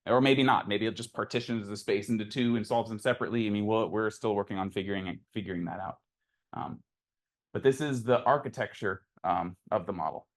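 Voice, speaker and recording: A man's voice: on the low side, a little fast. A man who is a researcher giving an informal academic lecture, explaining things in a conversational way with rhetorical questions and asides.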